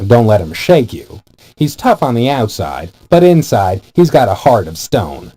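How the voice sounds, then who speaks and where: A man's voice: mid-range voice, sing-song, measured pace, warm tone, no echo. An actor playing a folksy, easygoing male newsroom colleague who likes to tease, in an adventure game. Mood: teasing. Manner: easygoing, joking. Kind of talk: scripted adventure game acting.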